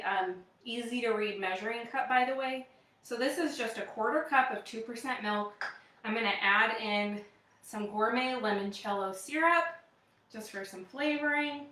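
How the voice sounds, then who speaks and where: Female live demo host, Wisconsin accent, chatty and upbeat, slightly high voice, recorded at a distance in an echoing room. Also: bright, forceful tone. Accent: American Midwestern, Wisconsin. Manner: upbeat, chatty, addressing viewers. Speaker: live demo host, direct-sales kitchenware consultant. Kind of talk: casual chatty livestream product demo. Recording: roomy echo, distant microphone.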